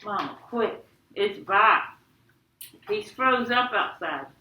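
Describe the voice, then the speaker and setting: A woman voicing an excitable young boy who begs urgently in a story read aloud. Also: medium pitch, slow, dull audio. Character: excitable young boy urgently begging his parents for help. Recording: echoey, distant mic, faint noise.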